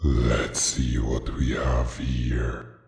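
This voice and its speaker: Cavernous, extremely deep menacing monster. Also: male voice, level tone, creaky, a little mellow, exaggerated voice. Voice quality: strong voice with a menacing laugh. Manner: slow, taunting, imperious. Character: huge menacing scaly reptilian monster cult leader, arrogant.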